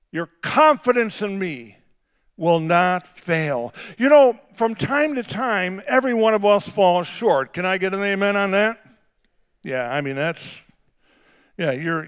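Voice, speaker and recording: A middle-aged male pastor with a big voice, preaching a sermon that swings from quiet, conversational asides to shouted, drawn-out emphasis. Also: high-pitched. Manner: drawn-out emphatic phrasing on key words.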